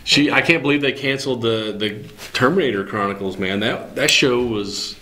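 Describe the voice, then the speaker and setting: A man in a mid-range voice with a bright, forceful edge chats along and is quick to add a detail. Faint noise sits behind him in the recording. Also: mid-range voice, rough recording. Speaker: podcast co-host. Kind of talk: casual chat.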